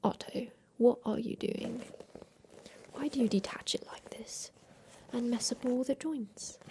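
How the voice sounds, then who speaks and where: A woman playing a tender, ailing inventor of a mechanical creature in a soft, whispered ASMR roleplay. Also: fairly high pitch. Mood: gently fussing. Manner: slow, gentle, soothing, with pauses.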